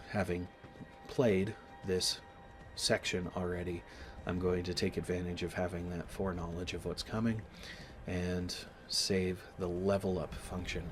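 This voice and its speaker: A man with a slight cold giving casual let's-play commentary, explaining things in a deliberate, halting way. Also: low-pitched, a little hiss or hum. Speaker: gaming video host. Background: game music.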